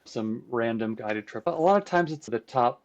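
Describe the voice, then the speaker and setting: A man's voice: mid-range voice, animated, normal speed. Dull-sounding man explaining in conversation. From United States, Croatian immigrant family. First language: Croatian. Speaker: podcast guest, trip guide and composer.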